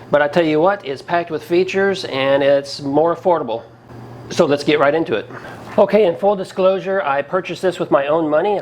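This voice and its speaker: A man hosting a casual product review, talking in a plain-spoken, folksy, conversational way. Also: on the high side. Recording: clearly noisy background ambience.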